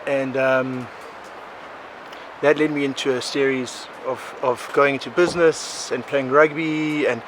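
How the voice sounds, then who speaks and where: A South African man in his forties, a podcast guest, telling his life story in a rambling, animated way, often laughing. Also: medium pitch, measured pace. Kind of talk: casual conversational storytelling. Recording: outdoor recording with clear background noise.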